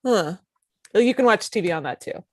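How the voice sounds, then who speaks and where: Lady reading skeptical 30s tv exec woman, polite n dry, mid pitch, no room echo, comedy read. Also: lively intonation, a little fast, natural voice. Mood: dry skepticism. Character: polite, skeptical 35-year-old female TV executive.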